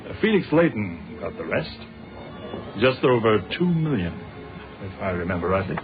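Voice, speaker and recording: An actor playing a patient, courteous police inspector in a British radio drama, speaking in a measured, polite way with a British accent. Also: male voice, on the low side, sing-song, music in the background. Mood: reflective, recounting. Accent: British English. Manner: measured, polite, dryly unflappable. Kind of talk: old-fashioned British radio drama acting. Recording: narrow, phone-like old radio recording.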